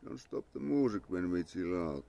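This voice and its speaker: A man's voice: low voice, animated, normal speed, creaky, dark tone. A young man from Finland with a Finnish accent, chatting casually on a live stream outdoors in his yard, thanking followers and muttering to himself. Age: young adult. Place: outdoor yard under a parasol. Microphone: phone camera at arm's length.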